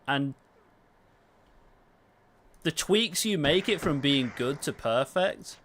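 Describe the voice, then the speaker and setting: A man's voice: fairly high pitch, lively intonation, slow, fairly bright tone. Self-deprecating southern guy streaming, noisy clicky audio. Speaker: game streamer and YouTuber. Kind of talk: casual livestream chat while gaming.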